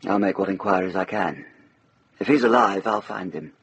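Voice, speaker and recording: An actor playing a grave, dutiful medieval officer in a radio drama, speaking in a measured, formal way. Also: male voice, deep voice, fairly bright tone, breathy edge, full character voice. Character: grave, dutiful medieval lord and sheriff's officer, war-weary. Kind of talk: British radio drama acting.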